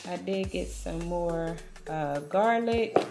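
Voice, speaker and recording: A woman's voice: fairly low pitch, takes it slowly, loud music behind the voice. A woman chatting casually through a grocery haul, speaking to viewers as y'all. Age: adult, has a daughter. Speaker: vlogger.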